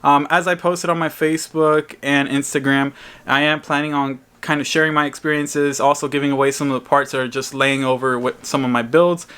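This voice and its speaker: A man's voice: a little high, level tone, fairly quick, fairly bright tone. A man talking casually to his viewers in an unboxing vlog, explaining things in an informal, plain-spoken way. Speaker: vlogger. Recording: clear background noise, crinkling packaging.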